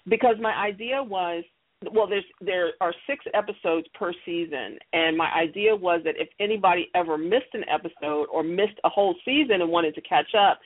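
A woman's voice: on the low side, normal speed. A middle-aged woman giving interview answers in an emphatic way, repeating key phrases for stress. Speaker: interview guest, audio theater creator and company leader. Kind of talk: interview answers, explaining her success strategies. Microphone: telephone or call connection. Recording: muffled, band-limited phone line.

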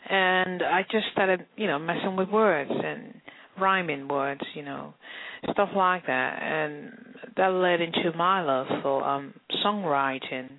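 A woman's voice: fairly low pitch, slow, no room sound. A young woman from Nigeria with a mixed Nigerian and British accent, a singer chatting as an interview guest and laughing often. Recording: tinny phone line.

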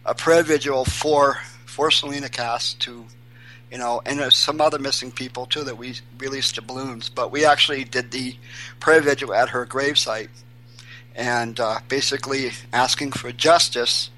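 A man from Maine, guest on an interview show, talking haltingly with many restarts and fillers. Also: medium pitch, steady tone, sharp tone, a little hiss or hum, low-quality recording. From Maine, USA. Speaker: guest, volunteer searching for missing children. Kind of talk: casual interview.

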